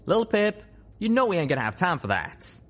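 A man's voice: high-pitched, big pitch swings, a little fast, fairly bright tone, voice pushed up, heavily acted. A man voicing a blunt, folksy male cowboy pony in an audiobook. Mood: disapproving.

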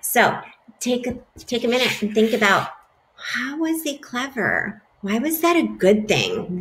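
A woman in her thirties reading a picture book aloud to children from her living room, speaking expressively into a laptop webcam. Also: mid-range voice. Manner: lively, expressive, addresses kids as friends. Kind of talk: storybook read-aloud for children. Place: home living room, indoors. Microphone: laptop webcam, close.

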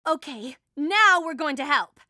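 A woman playing a stern, skeptical and fierce teenage warrior princess in an animated series. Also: high voice, measured pace, no room sound, studio quality, full character voice. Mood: commanding.

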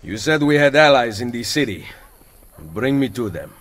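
A wry, confident assassin. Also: male voice, medium pitch, moderate pace, in a large hall. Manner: calm, wry, understated. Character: confident Italian male assassin, dry wit. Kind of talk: video game cutscene dialogue.

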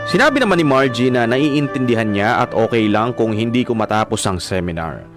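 A man's voice: medium pitch, no room sound, clean studio sound, close to an everyday voice. A man acting a sober son who narrates his story in a scripted radio drama. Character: Paul as narrator, sober son recounting events.

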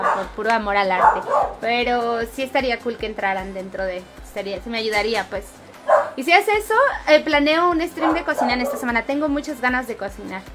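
A woman in her twenties with a Mexico City accent, chatting casually with viewers during a live game stream on a headset. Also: on the high side. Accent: Mexico City Spanish. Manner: chatty, reads and answers viewer comments while gaming. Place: home streaming room, indoors.

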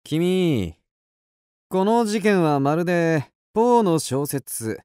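An actor playing a quirky, affable young amateur sleuth, an intellectual type, in a radio drama. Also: male voice, fairly high pitch, big pitch swings, takes it slowly, mellow, smooth, no room sound, studio quality. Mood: intrigued.

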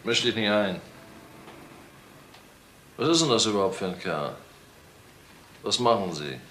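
A brutal middle-aged father in a crime radio play. He taunts and threatens in a forceful, widely swinging voice, recorded in a roomy space. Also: lowish voice, expressive, unhurried, a little hiss or hum. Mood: menacing contempt. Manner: menacing, taunting, coarse.

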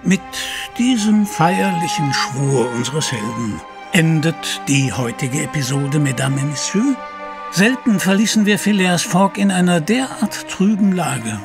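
An actor playing a courtly author-narrator, telling an adventure in formal, theatrical, scripted narration. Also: male voice, on the high side, sing-song, unhurried, gravelly, over music, exaggerated voice. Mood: courtly, solemn.

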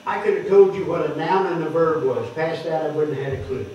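Older male preacher, high mellow voice, teaching a sermon, dull distant echoey hall recording. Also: a little fast, slight background noise. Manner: explains word by word, stresses key words loudly. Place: wood-paneled church hall, speaking at a lectern. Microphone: microphone on the lectern, heard from a distant camera.

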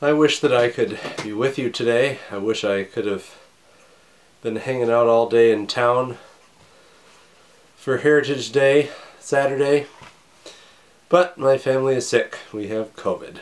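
A man in his thirties, a pastor, preaching a recorded sermon from his home study with a scratchy voice and a slight cough, earnest and measured. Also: medium pitch. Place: home study with bookshelves, indoors.

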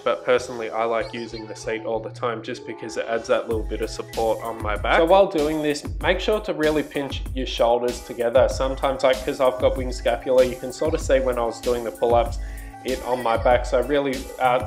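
A man in his twenties with an Australian accent, chatting casually as a fitness vlogger. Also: mid-range voice. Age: 20s. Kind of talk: casual vlog chat and workout commentary.

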